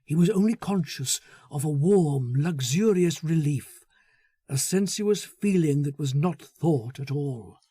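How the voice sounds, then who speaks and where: Male literary narrator: dark, relaxed timbre, measured dramatic delivery, close dry sound. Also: on the high side, husky, dry recording. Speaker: audiobook narrator. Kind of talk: literary scripted story narration.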